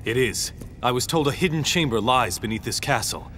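An actor playing a brooding young warrior bent on revenge, speaking formally in a dramatic video game style. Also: male voice, medium pitch, animated, fairly quick, slight background noise. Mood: grim, resolute. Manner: formal, archaic phrasing. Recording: loud music behind the voice. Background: loud music.

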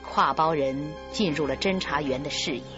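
A woman's voice: sits low, normal speed, lo-fi sound, music in the background. A woman giving scripted dramatic narration for a radio crime drama.

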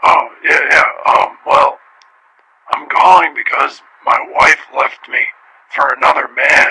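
A man playing a heartbroken, bitter jilted husband phoning a radio comedy call-in show in halting speech. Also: on the high side, gravelly, sharp tone, slightly rough, dry recording, full character voice, rough recording.

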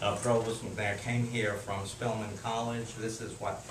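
A man's voice: fairly low pitch, flat delivery, a little slow. An older man, hosting a class lecture and introducing a guest in a casual, friendly way, with fillers. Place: small classroom. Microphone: far camera. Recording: echoey room from far off, noisy.

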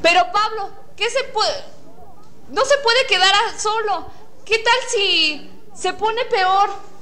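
A woman's voice: very high voice, lively intonation, takes it slowly, pushed and bright, heavily acted. A woman playing an anxious, indignant, overprotective mother in an agitated, theatrical student radio drama. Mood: anxious, pleading. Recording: faint noise, amateur classroom radio recording.

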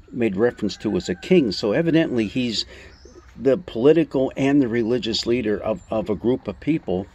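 A man in his sixties giving an informal Bible talk, explaining scripture in halting, emphatic phrases with rhetorical questions. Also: medium pitch, vocal fry, darkish tone, breathy edge. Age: 60s. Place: outdoors on a covered porch among greenery. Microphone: phone or camera at arm's length.

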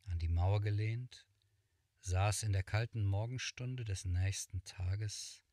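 A middle-aged man reading a fairy tale aloud, slow and hushed, sometimes whispering, in a small room. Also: sits low, dry recording. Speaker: storyteller. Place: small cluttered room, indoors.

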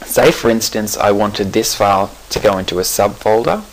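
A man talking through a software settings screen in a relaxed, explanatory way, pausing with fillers and correcting himself. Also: fairly low pitch, slight background noise, poor audio. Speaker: software tutorial presenter.

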